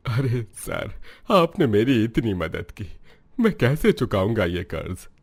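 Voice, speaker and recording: An actor voicing a poor, humble roadside cook and worried father in a cartoon story, polite and soft-spoken. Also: on the low side, sing-song, slow, slightly rough, exaggerated voice. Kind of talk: cartoon voice acting.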